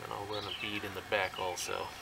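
A middle-aged man casually explaining a do-it-yourself boat repair, relaxed and plainspoken with lots of fillers, recorded outdoors in a rural driveway. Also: lowish voice, fairly quick, vocal fry, heavy background noise. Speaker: DIY workshop vlogger. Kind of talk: casual how-to narration. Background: birds and outdoor ambience.

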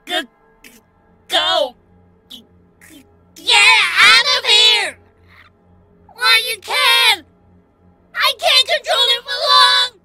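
A woman playing a frightened, defiant stallion trapped inside a monster, yelling in a strained, desperate way in an audio story. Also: very high voice, animated, slow, pauses often, soft background music, exaggerated voice. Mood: desperate warning. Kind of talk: dramatic audio story acting.

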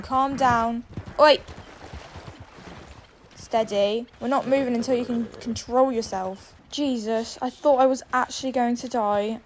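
A woman giving casual, excitable commentary as she role-plays in a video game, exclaiming often. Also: a little high, a little hiss or hum. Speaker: gaming video creator.

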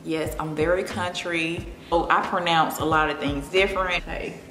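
A young woman with a Memphis drawl talks through her makeup routine in an easy, confiding chat. Her voice sits low, and the room rings with a long echo while music plays behind her. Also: normal speed. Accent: Memphis Southern. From Memphis, Tennessee. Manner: relaxed, confiding, drifting between makeup steps and personal chat. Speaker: beauty vlogger. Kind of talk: chatty get-ready-with-me makeup talk. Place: home room with plants.

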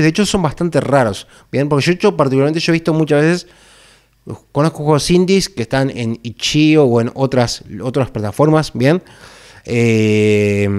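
Halting man. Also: mid-range voice, darkish tone, smooth. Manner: halting, repetitive, with frequent tag questions. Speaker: video creator. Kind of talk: casual unscripted talk to camera. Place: small bare indoor room. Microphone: microphone on a boom arm, close.

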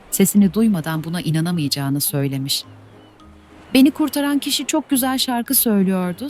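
Gentle woman reading fairy tales. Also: fairly low pitch. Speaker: storyteller. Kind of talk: scripted fairy-tale narration.

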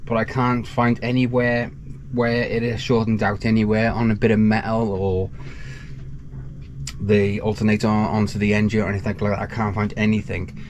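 A man in his thirties, a sailing vlogger, talking to a handheld camera in a small boat cabin and calmly explaining an electrical repair step by step. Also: mid-range voice. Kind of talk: casual vlog narration to camera. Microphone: action camera held close. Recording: faint background noise.

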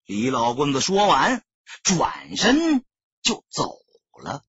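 A man with a raspy voice narrating a novel as a dramatic audiobook reader. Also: a little high, wildly swooping pitch, takes it slowly, a bit bright, dry recording, dull audio. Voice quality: husky, raspy.

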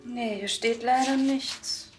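An actress playing a businesslike funeral home clerk, polite and matter-of-fact, in a TV crime comedy. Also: female voice, fairly high pitch, steady tone, takes it slowly, soft background music.

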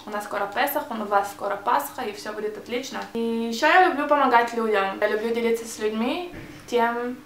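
A woman's voice: fairly high pitch, brisk, fairly bright tone, echoey room. A young woman with a Hebrew accent, speaking Russian as a second language in a casual tag video, often hesitating and restarting words. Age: young adult. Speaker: vlogger. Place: small bright room, indoors. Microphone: camera mic, seated facing camera.

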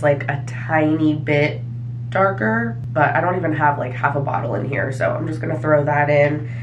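Young female vlogger, congested and nasal, casual filler-heavy chat, slightly low voice. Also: slight background noise, poor audio. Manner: chatty, lots of fillers. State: stuffy nose from allergies. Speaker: vlogger and hairstylist. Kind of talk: casual talk to camera. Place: small apartment, kitchen and bathroom. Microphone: handheld camera at arm's length or tripod.

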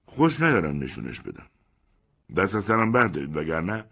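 A man's voice: deep voice, sing-song, creaky, fairly bright tone, exaggerated voice. A man voicing a proud, touchy middle-aged man who talks in a haughty, declaiming way. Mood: contemptuous.

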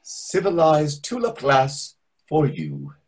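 A man in his thirties playing a fussy, condescending waiter with a deliberate, pompous manner in a comedy reading over a video call. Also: a little high, huge pitch swings, breathy edge, full character voice. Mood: smug. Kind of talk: readers theatre comedy acting. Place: indoor room on a video call. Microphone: video call microphone.

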